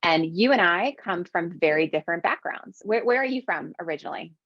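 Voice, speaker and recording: A woman's voice: fairly low pitch, animated, brisk, no room sound, lo-fi sound. A woman from the American South, a business owner answering interview questions with emphatic repetition. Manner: emphatic, repeats phrases for stress.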